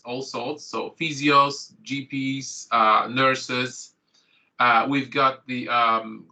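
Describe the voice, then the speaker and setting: Bright-voiced Polish-born man opening a training day. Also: mid-range voice, rough recording. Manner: chatty, filler-heavy, self-correcting. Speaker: GP and course trainer. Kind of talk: online training introduction.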